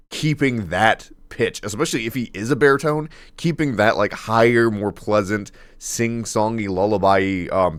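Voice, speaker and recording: A man with a deep baritone voice reacting casually to a song, laughing often and rambling with fillers and restarts. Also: a bit bright, no echo. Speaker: music reaction host.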